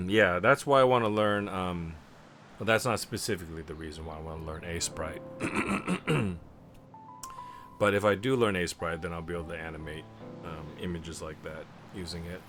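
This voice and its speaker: A man streaming a video game live, chatting in a rambling way and clearing his throat often. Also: low-pitched, moderate pace. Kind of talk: live let's play commentary. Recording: game music clearly audible underneath. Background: game music.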